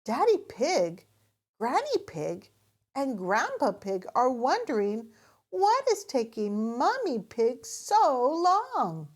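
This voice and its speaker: A woman reading a picture book aloud to young children in an expressive, carefully paced way. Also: high voice, slow pace. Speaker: children's story reader, host.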